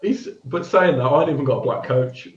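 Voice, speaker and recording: A man, chatting casually as a show co-host, animated and quick to laugh. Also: fairly high pitch. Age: 30s. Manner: animated, laughing, trails off and restarts. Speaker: podcast co-host. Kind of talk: casual chat about tabletop miniatures. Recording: echoey room, dull lo-fi sound.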